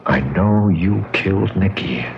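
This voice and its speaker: A noisy, dull old radio recording carries a deep-voiced man out to trap a killer. He is grave, accusing and determined, weighing his words like charges. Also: measured pace, gravelly. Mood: grim, accusing. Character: grimly determined male accuser trapping a killer. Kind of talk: old radio drama acting.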